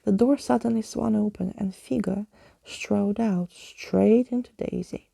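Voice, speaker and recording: A woman reading softly in an ASMR bedtime style, as a storyteller addressing a listener. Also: mid-range voice, fairly even intonation, measured pace, creaky, no room sound.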